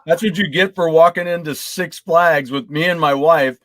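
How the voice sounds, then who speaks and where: A man's voice: on the high side, steady tone, normal speed, a bit bright, no echo. A man chatting casually and teasingly as a panelist on a video game podcast. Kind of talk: casual chat.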